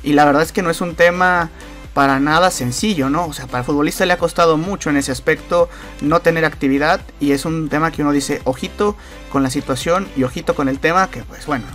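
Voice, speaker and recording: A man hosts a football news channel in a rambling, filler-heavy way. His voice sits a little high for a man. Faint music plays under his voice-over. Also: a bit bright. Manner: rambling, many fillers and repeated phrases. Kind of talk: casual soccer news commentary.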